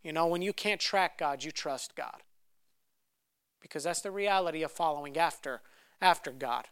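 A young man whose first language is Romanian, preaching a sermon and telling a personal story, often restarting on repeated words as he builds to loud, fervent passages. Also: a little high, normal speed, smooth, no room sound, clean studio sound.

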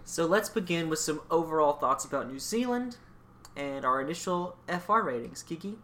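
A young man co-hosting a podcast in casual chat, often pausing with ums and restarting his sentences. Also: a little high, expressive, bright, forceful tone, faint music behind. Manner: hesitant, many ums and restarts. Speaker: podcast co-host.

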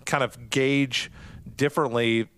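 A man's voice: medium pitch, fairly even intonation, a little slow, fairly bright tone, no room sound. A man hosting an interview, asking long, rambling questions with self-deprecating asides. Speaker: podcast host. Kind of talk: interviewing a guest.

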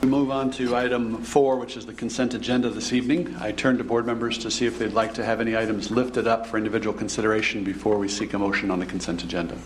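A man chairing a public board meeting, running the agenda in a brisk, procedural way. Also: fairly low pitch, little pitch movement, a little hiss or hum.

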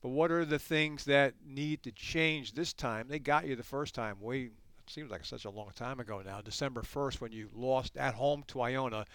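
Male podcast host, mid-pitched and smooth, conversational interviewer. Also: moderate pace, few pauses, a bit bright, dry recording. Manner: conversational interviewer, asking long questions.

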